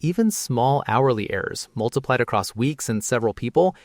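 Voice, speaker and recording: A man's voice: medium pitch, animated, brisk, a little mellow, no room sound, studio quality. A man hosting a podcast, reading a scripted summary in an orderly, explanatory way.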